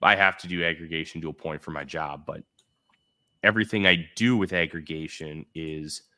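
Bright deep-voiced male podcast host. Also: moderate pace. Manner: laid-back, loose, with frequent fillers. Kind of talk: casual chat.

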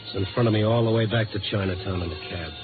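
A man's voice: on the low side, quick pace, music in the background, lightly acted. A man playing a wry, tough newspaper reporter in a 1950s radio noir drama, with a clipped, sardonic delivery. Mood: brooding, weary. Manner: sardonic, hard-boiled, clipped. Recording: narrow-band vintage radio broadcast.

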